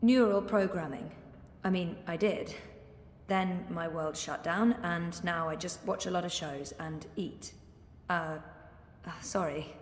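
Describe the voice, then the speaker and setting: A woman's voice: on the low side, unhurried, natural voice. A woman playing a reserved, weary young heroine in a video game, speaking in an understated, hesitant, conversational way. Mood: friendly, awkward. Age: young adult. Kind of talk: video game character acting. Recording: echoey hall, faint background noise.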